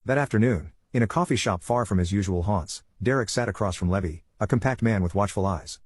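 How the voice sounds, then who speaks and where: Male narrator for scripted drama. Lowish pitch, brisk pace, very dry recording. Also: darkish tone, husky, no room sound. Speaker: story narrator.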